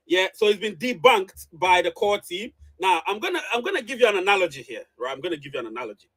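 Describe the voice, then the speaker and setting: High-pitched emphatic man. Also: big pitch swings, quick pace, fairly bright tone, slightly rough. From Ghana. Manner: emphatic, repeats phrases for emphasis. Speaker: online show host. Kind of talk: live reaction commentary to followers.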